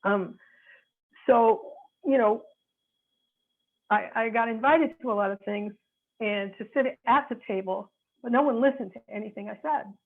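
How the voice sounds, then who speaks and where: A woman's voice: a little high, measured pace, pauses often. A woman in her sixties from the American Midwest, an attorney giving earnest, frank advice while searching for her words. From North Chicago, USA. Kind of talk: reflective advice talk. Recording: tinny phone-like line.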